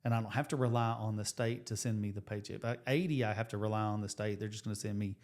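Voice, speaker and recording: A man in his forties, a high school coach and teacher, co-hosting a casual podcast and talking things through at length with many restarts. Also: fairly even intonation, fast talker. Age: 40s. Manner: rambling, restarts, thinks out loud with numbers. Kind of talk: casual chat.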